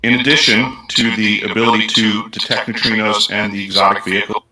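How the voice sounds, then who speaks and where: A man's voice: on the low side, level tone, a little fast, a loud crowd, poor audio. A man, a guest on the show, presenting research claims in an earnest, methodical way and listing points one after another.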